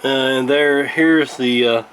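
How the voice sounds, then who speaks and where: A man giving a casual hands-on repair tutorial in a halting, unscripted, explanatory way. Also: medium pitch, steady tone, fairly bright tone, poor audio. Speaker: radio repair video host. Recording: clear background noise.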